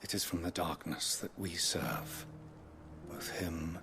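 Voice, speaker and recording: A solemn, devout knight from a fantasy game, with a very low voice. He speaks with stately deference in archaic, formal phrases, sometimes hushed. There is faint noise behind him. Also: slow, gravelly, heavily acted. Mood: reverent. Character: solemn devout male knight and chronicler of a secret order.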